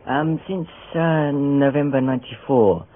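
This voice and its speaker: A man's voice: medium pitch, measured pace, a little hiss or hum. A man who edits a magazine and is an artist, answering interview questions hesitantly, with many restarts. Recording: tinny phone-like radio sound.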